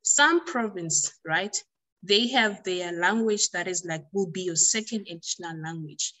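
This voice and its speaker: A South African woman answering interview questions conversationally, explaining and often rephrasing to make her point clear. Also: lowish voice, a little slow, sharp tone, poor audio. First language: Xhosa. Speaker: language tutor being interviewed.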